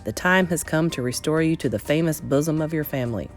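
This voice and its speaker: A woman voicing a calm old grandmother with a dry, brisk, knowing manner in a storybook reading. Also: sits low, faint music behind, pitched below the performer's own voice, close to an everyday voice. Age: older. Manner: unruffled, dry, matter-of-fact.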